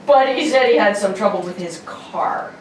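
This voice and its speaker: A woman's voice: medium pitch, lively intonation, normal speed, far from the mic, lightly acted. A woman playing a casual, folksy stage doorman, colloquial and helpful, in a radio serial read-through. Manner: colloquial, offhand. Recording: echoey room, off-mic, faint noise.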